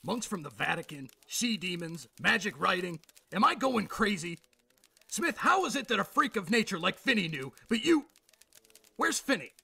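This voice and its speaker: A man playing an exasperated, short-tempered veteran police boss in a radio drama, barking and sarcastic with a gravelly, harsh voice. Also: high-pitched, very animated, moderate pace, sharp tone, heavily acted. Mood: exasperated anger.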